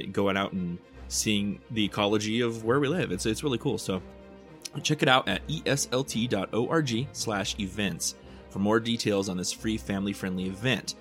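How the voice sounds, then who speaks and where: A man's voice: lowish voice, a bit bright. A man hosting a local news show, reading press releases casually and adding joking asides. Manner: laid-back, adds joking asides to the news. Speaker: local news podcast host.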